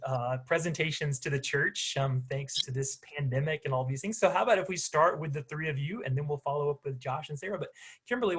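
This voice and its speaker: A man's voice: on the high side, fairly bright tone. A man, a church minister, hosting a recorded conversation with young volunteers, speaking hesitantly with many ums and restarts.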